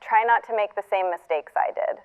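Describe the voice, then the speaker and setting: An actress playing a wry, tender middle-aged woman leaving a farewell message, reflective and halting, through a narrow playback filter. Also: mid-range voice, smooth. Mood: tender, proud. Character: wry, tender older woman saying a last goodbye. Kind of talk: recorded farewell message in audio fiction. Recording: thin, phone-like recorded playback.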